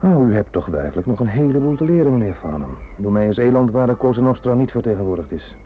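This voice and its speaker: A male actor playing a wry, resigned, suspended British police inspector in a radio drama, speaking in a measured, world-weary way. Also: medium pitch, very animated, natural voice. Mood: wry, knowing. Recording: old radio recording, narrow band, background noise.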